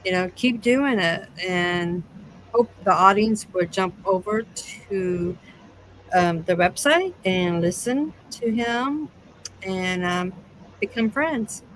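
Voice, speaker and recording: A woman chatting casually as a podcast host, speaking in a halting way. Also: mid-range voice, animated, fairly bright tone, slight background noise, poor audio. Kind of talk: casual chat, show sign-off.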